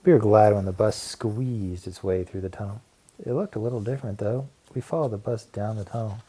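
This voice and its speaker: A man reading a children's story aloud in an animated, expressive storytelling manner. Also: lowish voice, a little fast, husky. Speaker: audiobook reader. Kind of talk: children's story read-aloud with character voices.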